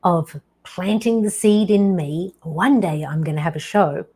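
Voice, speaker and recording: A woman in her fifties hosting her own show, telling a personal story slowly and deliberately, with dramatic pauses. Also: fairly low pitch, a little mellow, breathy edge. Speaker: podcast host.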